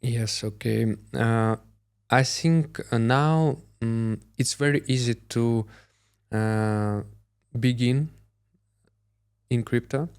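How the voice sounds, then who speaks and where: A Russian man with a Russian accent, a crypto influencer answering interview questions in hesitant English with many filler pauses. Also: medium pitch, a little mellow, smooth.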